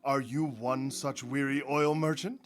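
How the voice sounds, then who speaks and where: A man's voice: mid-range voice, fairly even intonation, a little slow, faint music behind, close to an everyday voice. An actor playing a generous, trusting man of means in a radio drama, with formal, courtly speech. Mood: hospitable. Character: generous, trusting wealthy householder, courtly host.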